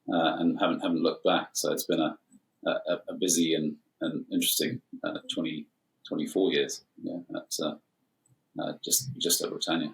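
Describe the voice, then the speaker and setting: A man's voice: a bass voice, low-quality recording. A man in his forties from Zimbabwe, an airline captain talking as a guest in a casual chat, with many hesitant fillers.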